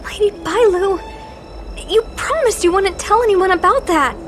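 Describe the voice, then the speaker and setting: A woman voicing a gentle, apologetic young woman in a video game, pleading and hesitant in manner. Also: very high voice, moderate pace, music in the background. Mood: shaken.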